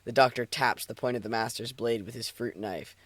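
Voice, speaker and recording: A man with a mid-pitched voice hosts a casual reading stream. He is playful and swears easily, moving between banter and story narration. The sound is dry and close. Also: fairly quick, fairly bright tone, no echo. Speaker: streamer reading fan fiction aloud.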